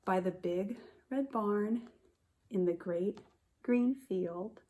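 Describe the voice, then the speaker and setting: A woman kindergarten teacher reading a picture book aloud to young children in a simple, expressive way, speaking to them directly. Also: medium pitch, takes it slowly. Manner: addresses children directly with questions and prompts.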